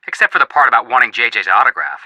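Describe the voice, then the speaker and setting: Bright, animated American teen sleuth. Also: male voice, medium pitch, racing, dry recording, low-quality recording. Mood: wry, curious. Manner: expressive, wisecracking. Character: eager teenage American brothers, amateur sleuths, wisecracking and earnest. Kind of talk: video game phone dialogue acting.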